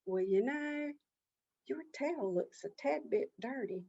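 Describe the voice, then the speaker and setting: A woman's voice: high voice, lively intonation, slow pace, creaky, dark tone, slightly rough, lo-fi sound, voice pushed up, heavily acted. Woman as a sly, folksy rabbit trickster. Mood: sly, casual. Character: sly male rabbit trickster, casually reassuring.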